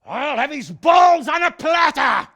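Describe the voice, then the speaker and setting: Game lord: middle-aged man, gruff, commanding, forceful and sharp, with big swings in pitch. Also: very animated, unhurried, no echo, highs cut off, exaggerated voice. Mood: furious. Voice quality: gravelly, husky. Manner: commanding, colourfully cursing, hearty. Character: blustering, shrewd medieval lord, gruff and quick-tempered. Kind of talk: video game character acting.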